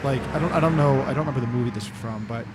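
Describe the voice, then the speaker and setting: A man's voice: mid-range voice, very fast, background noise. A man playing a tabletop game, chatting casually and searching for his words as he goes. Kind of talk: casual chat.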